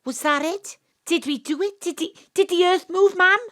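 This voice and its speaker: A woman's voice: very high voice, normal speed, fairly bright tone. An actress playing a plucky, eager young woman investigator in an audio drama, full of exclamations. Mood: excited.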